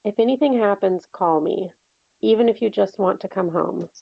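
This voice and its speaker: A woman acts a wry young friend in a horror drama, teasing but protective. Her mid-pitched voice has plenty of creak, and the recording is muffled and lo-fi. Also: normal speed, dry recording. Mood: concerned. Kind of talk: table read of a horror film script. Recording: dull, lo-fi microphone sound.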